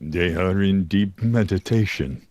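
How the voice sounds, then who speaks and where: A solemn mystic in a puzzle game, lowish in pitch and very unhurried. He is calm and enigmatic, giving out riddles in measured phrases. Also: no room sound. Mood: serene, cryptic. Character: calm, cryptic male mystic speaking in riddles. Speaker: voice actor in a puzzle adventure game. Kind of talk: cryptic game dialogue.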